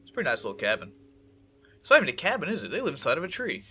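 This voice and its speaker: A man's voice: fairly high pitch, wildly swooping pitch, rapid-fire, bright, forceful tone. A young man with a congested voice, swearing and joking casually as he plays a game. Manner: profane, joking, talks back to the game. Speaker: gameplay commentator. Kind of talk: casual let's-play commentary.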